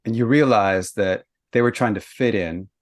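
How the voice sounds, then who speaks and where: A male podcast host with a somewhat low voice guides the conversation. He sums up his guest's points and nudges him forward with engaged prompts. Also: moderate pace. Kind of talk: conversational interview.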